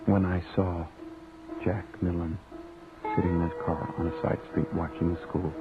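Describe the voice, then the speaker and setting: Brooding Canadian cabbie, low-pitched and controlled, radio drama on a narrow, tinny recording. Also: male voice, level tone, moderate pace, over loud music. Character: brooding cab driver, protective, angry husband. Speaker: lead actor and narrator. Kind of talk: naturalistic radio drama with first-person narration. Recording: tinny, band-limited old radio recording, faint noise.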